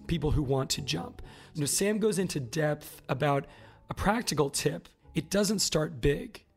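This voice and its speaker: A man hosting a podcast interview, his voice scratchy and worn from overuse. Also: on the high side. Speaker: podcast host.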